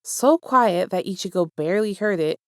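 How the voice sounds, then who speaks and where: A woman's voice: medium pitch, expressive, normal speed, no echo, clean studio sound. A woman reading fan fiction aloud as a storyteller and speaking to her listeners. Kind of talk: scripted story narration, casual outro.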